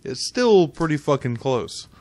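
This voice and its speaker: A man of average pitch chats as a podcast co-host. His sound is dry and close, and his delivery is casual banter peppered with swearing. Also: big pitch swings, a little slow, darkish tone, no echo.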